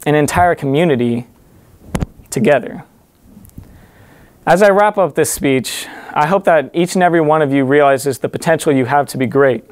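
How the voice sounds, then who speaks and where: A man's voice: fairly high pitch, normal speed, pauses often, no echo. Earnest teenage boy giving a graduation speech. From United States. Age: teens. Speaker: student graduation speaker. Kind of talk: prepared commencement speech. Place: indoor studio in front of a curtain.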